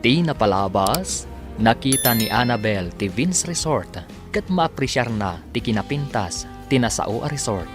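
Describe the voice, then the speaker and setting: A man narrating and announcing a radio drama in a measured broadcast manner. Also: mid-range voice. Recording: radio studio with music bed.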